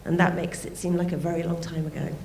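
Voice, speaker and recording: A woman with a croaky, gravelly voice opens an evening forum with a welcome speech. Her tone is mellow and unhurried, with light asides. She speaks into a microphone in a roomy hall with faint background noise. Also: on the low side, level tone. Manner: thanks people warmly, with light asides. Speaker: art fair director opening a forum. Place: event venue.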